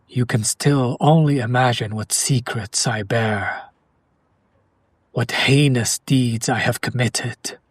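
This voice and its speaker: Male actor for ancient noble vampire, romantic audio roleplay: brooding, formal, breathy husky voice, very unhurried, hushed dramatic pauses. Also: medium pitch, dry recording. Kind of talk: intimate audio roleplay monologue addressed to the listener.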